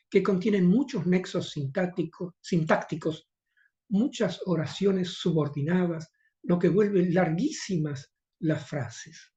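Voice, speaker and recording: A man's voice: high voice, mellow, highs cut off. Older Rioplatense man giving a lecture. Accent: Rioplatense Spanish. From Argentina. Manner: measured, formal reading with occasional hesitations. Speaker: conference speaker. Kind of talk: reading an academic lecture.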